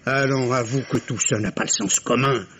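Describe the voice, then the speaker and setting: A man's voice: medium pitch, moderate pace, a bit bright, close to an everyday voice. Actor as patronising doctor father, middle-aged, mid-range voice, exasperated stage delivery, noisy old radio sound. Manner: condescending, exasperated, theatrical. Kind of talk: 1950s French radio mystery acting. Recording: noisy vintage radio drama recording.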